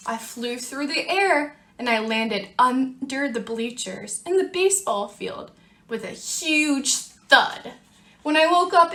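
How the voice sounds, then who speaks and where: A brazen little seed from a children's storybook, acted out by a woman, confessing its badness with drama. The delivery is slow and drawn out, fairly high in pitch, in an echoey room. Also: pushed and bright, heavily acted. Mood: alarmed. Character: self-declared bad sunflower seed, defiant and dramatic, storybook.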